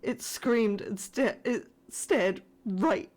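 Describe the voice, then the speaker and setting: A woman playing a frightened spaceship crew survivor sending a distress message in a scripted sci-fi horror audio drama, formal but shaken and halting. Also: medium pitch, darkish tone. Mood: shaken, dread. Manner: formal reporting that breaks into shaken, halting, emotional delivery.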